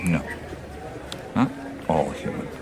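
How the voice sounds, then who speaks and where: An actor in a radio drama playing a thoughtful, troubled adventurer who speaks in a hesitant, musing way. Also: male voice, slow pace, over music, close to an everyday voice. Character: thoughtful, troubled male adventurer seeking answers.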